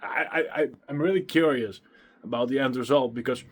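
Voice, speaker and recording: A man's voice: medium pitch, brisk. A young man with a Dutch accent vlogging casually from his small home studio, chatty and self-deprecating, a little out of breath. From Netherlands. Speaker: music producer vlogger. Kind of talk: casual vlog talking to camera. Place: small home studio with acoustic foam, indoors. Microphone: handheld phone at arm's length.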